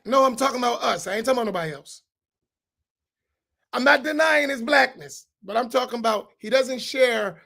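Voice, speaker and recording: Middle-aged man, from the US, imam, panel talk, gravelly, emphatic and preacherly, rhetorical questions. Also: very high-pitched, bright, forceful tone. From United States. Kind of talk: roundtable talk to an audience.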